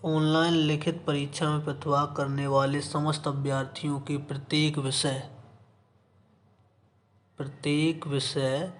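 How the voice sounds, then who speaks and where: A man's voice: fairly high pitch, level tone, measured pace, slight background noise. A man teaching, reading out an official notice and explaining it, repeating phrases for emphasis and mixing in English terms. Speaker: exam coaching teacher.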